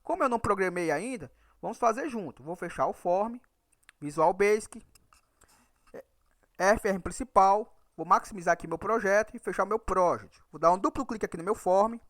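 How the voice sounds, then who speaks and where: A man's voice: high voice, lively intonation, normal speed, a bit bright, dry recording, studio quality. A man from Ceará with a northeastern Brazilian accent, teaching a programming tutorial and checking in with "right?" after nearly every point. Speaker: Excel VBA instructor. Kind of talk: screen-recorded programming tutorial.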